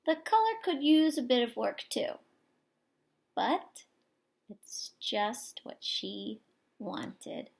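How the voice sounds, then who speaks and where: A woman teacher reading a picture book aloud expressively to young children, pausing for explanations and questions. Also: on the high side, very animated, creaky. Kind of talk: expressive children's story read-aloud with asides to listeners.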